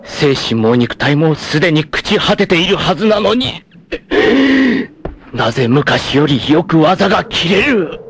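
A man's voice: very animated, unhurried, fairly bright tone, a little hiss or hum, exaggerated voice. A man playing a vain, narcissistic, treacherous martial-arts villain in a 1980s anime, with a haughty, theatrical, mocking delivery. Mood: indignant vanity. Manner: theatrical, haughty, mocking, erupting into shrill outrage. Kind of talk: dramatic 1980s anime voice acting.

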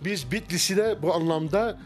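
Hesitant middle-aged man, slightly high voice. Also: moderate pace, music in the background. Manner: hesitant, many fillers and restarts. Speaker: restaurant owner. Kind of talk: casual interview. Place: wood-paneled restaurant interior. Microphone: handheld mic held by interviewer.

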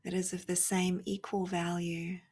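A woman leading a guided spiritual meditation, speaking softly and reflectively in short phrases with long pauses, often fading almost to a whisper. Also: lowish voice, flat delivery, breathy edge.

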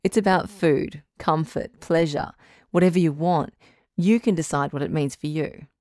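A woman playing a calm, reassuring doctor who hosts a radio relationship advice show, speaking in a measured, counselling way. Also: low voice, dry recording, clean studio sound, close to an everyday voice. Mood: gently advising. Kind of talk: audio drama radio call-in acting.

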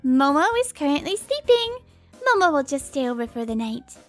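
A woman's voice: high voice, very animated, normal speed, music in the background. A woman playing a cute, clingy, pouty teenage pop idol girl in a manga romantic comedy. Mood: clingy, sweet. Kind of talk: cute anime-style voice acting.

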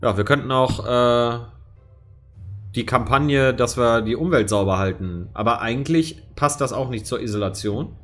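Male streamer, 40s, from East Westphalia; casual, filler-heavy play-by-play over soft music. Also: medium pitch, moderate pace. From East Westphalia, Germany. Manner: thinks aloud with many fillers. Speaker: streamer and co-host. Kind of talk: casual let's-play chat. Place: home room.

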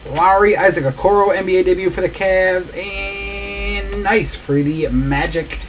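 A man's voice: high-pitched, a little slow, background noise. A man hosting a live trading card break, opening packs and rattling off player names as he goes. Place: small indoor studio desk.

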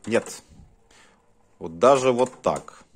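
A man giving casual hands-on commentary as a video host, talking haltingly in short muttered phrases. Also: mid-range voice, expressive. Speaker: host of a cassette deck test vlog.

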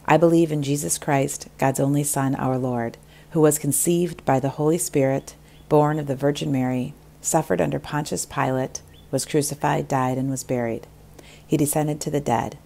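Low, gravelly woman leading a creed. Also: fairly even intonation, normal speed, warm tone.

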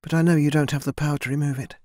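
A man voicing a timid young woman who speaks softly and hesitantly, stammering at times. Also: a little high, steady tone, very fast, dry recording, studio quality, voice pushed up, natural voice. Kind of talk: audiobook character voice.

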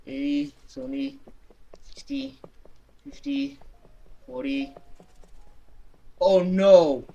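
A man's voice: sits high, sing-song, extremely slow, dark tone, muffled recording. A young man giving casual gaming commentary, reading prompts aloud and muttering short reactions indoors. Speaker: gaming streamer. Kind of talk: casual reaction commentary while playing a game. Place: indoor room. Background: video game sound.

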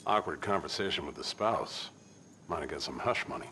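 An actor playing a jaded, wisecracking senior coroner in a video game cutscene, dry and clinical in manner. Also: male voice, very deep voice, expressive, fairly quick, bright, forceful tone, a little hiss or hum, exaggerated voice. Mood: sardonic.

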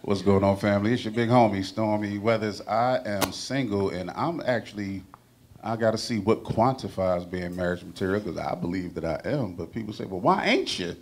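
A man's voice: on the low side, moderate pace. A man on a casual panel, joking and streetwise, talking into a handheld mic. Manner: joking, streetwise, laid-back.

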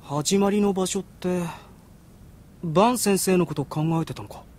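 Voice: medium pitch, normal speed, a little mellow, natural voice. An actor voicing a candid teenage boy hero in an anime audio drama, casual and blunt. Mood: casual, curious. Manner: casual, blunt boyish speech. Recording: music behind the voice.

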